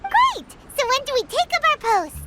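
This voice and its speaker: A woman voicing a tiny, childlike, eager fairy companion in a video game, squeaky and perky. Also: wildly swooping pitch, normal speed, very noisy, full character voice. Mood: cheerful. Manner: perky, refers to self in third person. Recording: music behind the voice.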